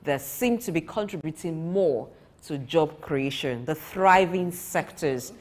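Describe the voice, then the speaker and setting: A woman's voice: low-pitched, animated, measured pace. A woman interviewing a guest for TV, probing and steering his answers back to her question.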